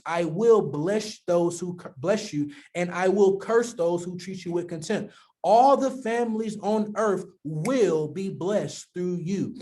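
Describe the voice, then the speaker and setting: A man preaching a sermon, his voice sitting fairly high for a man. He reads a scripture passage aloud, then unpacks it in loose, conversational talk, circling back to repeat his main points. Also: darkish tone.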